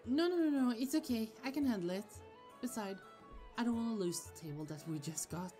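A woman's voice: a little high, animated, brisk, mellow, lightly acted. A woman playing a protective, easily annoyed sorceress sister in a fantasy audio roleplay, chatty, affectionate and firm. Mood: cheerful, affectionate. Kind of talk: ASMR roleplay acting. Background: music.

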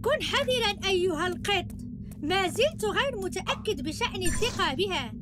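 A woman's voice: extremely high pitch, lively intonation, a little fast. A woman voicing a young child superhero, a helpful, encouraging teammate giving instructions in a cartoon game. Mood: helpful, careful. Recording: loud game music and jingles behind.

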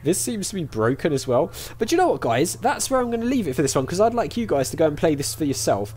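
A man's voice: fairly high pitch, sing-song, fast talker, darkish tone, soft background music. A chatty man giving casual let's-play commentary, thinking aloud as he goes. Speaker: gaming YouTuber.

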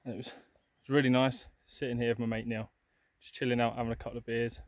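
A man's voice: mid-range voice, flat delivery, fast. A young man chatting casually and upbeat to a handheld camera while hiking on an open hillside. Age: young adult. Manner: chatty, upbeat, many fillers. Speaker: outdoor vlogger. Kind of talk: casual walking vlog. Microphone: handheld camera at arm's length.

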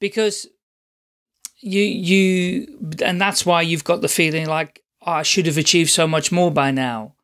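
A man hosts a podcast interview. His voice sits somewhat high for a man. He speaks in a measured, encouraging way, with fillers and small restarts. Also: steady tone, fairly bright tone. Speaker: podcast host.

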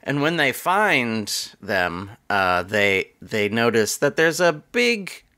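A man in his thirties co-hosting a casual movie podcast from a home room on a studio mic, animated and jokey. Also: mid-range voice, slow pace. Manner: animated, jokey, drifts into silly bits. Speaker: podcast co-host. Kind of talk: casual movie review chat. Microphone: studio mic with headphones.

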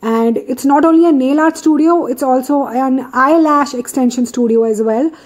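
Woman vlogger from india, phone mic in a nail salon, chatty and upbeat, slightly high voice. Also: moderate pace, darkish tone. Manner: chatty, recommending, self-correcting. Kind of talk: casual vlog narration.

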